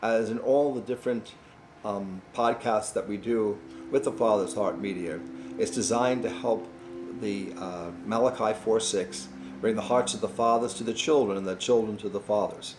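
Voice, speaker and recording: A man's voice: on the low side, normal speed, reverberant, noisy background. A man hosting a faith-based podcast interview, speaking earnestly and encouragingly. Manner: earnest, encouraging, religious framing. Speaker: podcast host.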